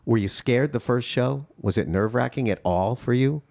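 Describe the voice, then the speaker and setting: A man's voice: medium pitch, moderate pace, creaky, a little mellow. A man in his thirties hosting a podcast interview, chatty and effusive, joking about himself. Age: 30s. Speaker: podcast host. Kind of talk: casual interview chat.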